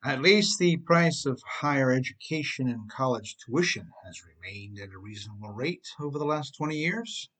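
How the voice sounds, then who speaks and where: A man's voice: medium pitch, animated, normal speed, few pauses, fairly bright tone. A man narrating his own self-help book in a wry, conversational way, often putting rhetorical questions straight to the listener. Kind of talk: audiobook narration with conversational asides.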